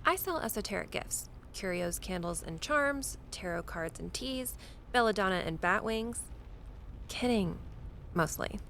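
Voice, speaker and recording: A woman's voice: medium pitch, animated, unhurried, a bit bright, a little hiss or hum. A woman playing a wry, witchy shopkeeper in an audio drama, with playful dry humor and faint ambience behind her. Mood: playfully dry. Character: wry, witchy middle-aged woman shopkeeper selling esoteric curios.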